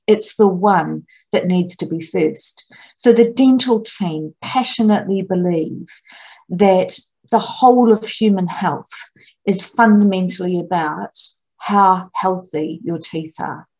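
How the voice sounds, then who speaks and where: A middle-aged woman from New gives an online conference lecture over slides, measured and explanatory, with frequent ums and restarts. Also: lowish voice, gravelly, a little mellow, husky, muffled recording. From New Zealand. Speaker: clinical academic presenting at a conference.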